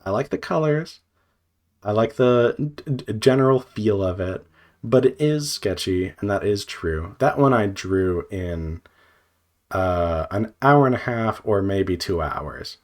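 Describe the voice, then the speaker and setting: Low-pitched man rambling casually. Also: lively intonation. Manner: rambling, scattered, thinking aloud; hesitant, frequent filler "like" and trailing pauses. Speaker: video creator, indie visual novel developer. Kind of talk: unscripted casual vlog monologue.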